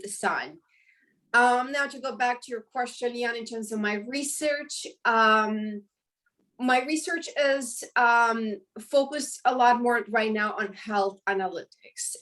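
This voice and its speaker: A woman's voice: fairly high pitch, a bit bright, far from the mic. A woman in her forties from Romania with a Romanian accent, answering questions in a webinar interview with a hesitant delivery full of ums and restarts. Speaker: professor and guest.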